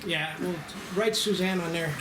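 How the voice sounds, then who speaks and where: A man's voice: high voice, normal speed. A man leading a table read, informally organizing the group and explaining things. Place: indoor room with a group. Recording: noisy, echoey room.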